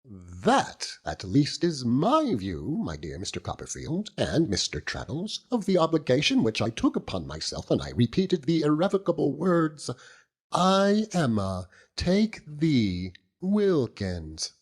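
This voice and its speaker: A man's voice: on the high side, huge pitch swings, unhurried, a little mellow, husky, pitched above the performer's own voice, heavily acted. A man voicing an earnest, self-important genteel wife and mother in an audiobook, lecturing in a pompous, declamatory way. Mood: earnest, devoted. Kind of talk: audiobook character voice.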